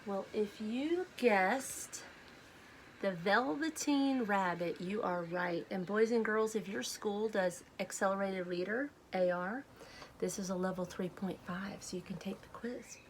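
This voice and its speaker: A woman reading a storybook aloud to children, speaking in a deliberate, simple way. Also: mid-range voice, a little hiss or hum, poor audio. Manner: deliberate, simple phrasing addressed to young children. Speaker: children's read-aloud host.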